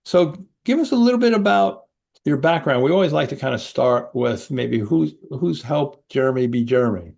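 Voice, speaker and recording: A middle-aged male host with a gravelly, fry-laden voice at an ordinary pitch. He chats with his guest as a fellow coach, keen and quick to chime in, stumbling over the odd restart. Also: animated, a little mellow. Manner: enthusiastic, quick interjections, some stammering restarts. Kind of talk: interview host chat.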